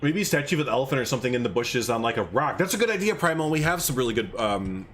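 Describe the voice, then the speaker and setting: A man's voice: medium pitch, big pitch swings, rapid-fire, fairly bright tone, faint music behind. A man casually chatting on a gaming livestream, rambling and thinking aloud, a bit stuffed up. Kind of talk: casual gameplay livestream chat.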